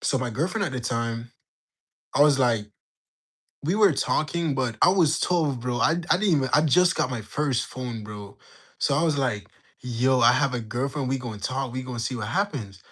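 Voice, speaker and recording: A young man in his late teens from Jamaica, telling a story in a slangy, animated way while bantering with his livestream chat. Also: medium pitch, normal speed. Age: young adult. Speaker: livestream host. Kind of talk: casual storytelling to live chat.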